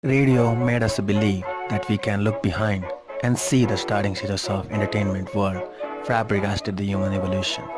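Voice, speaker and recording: A man's voice: on the low side, steady tone, moderate pace, over loud music. A man reading a scripted history narration as a documentary narrator.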